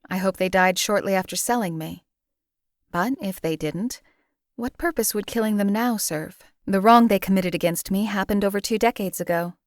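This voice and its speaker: A woman voicing a numb, detached female hired killer in a game, who talks in a measured, dry way. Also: mid-range voice, no echo, close to an everyday voice. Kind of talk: video game companion voice lines.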